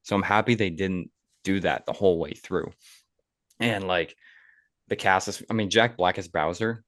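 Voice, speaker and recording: A man with a very deep voice hosts a casual film discussion. He sounds enthusiastic and opinionated, speaking conversationally. The recording is dry and close, with very little room sound. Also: normal speed, a bit bright, dry recording. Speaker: podcast host.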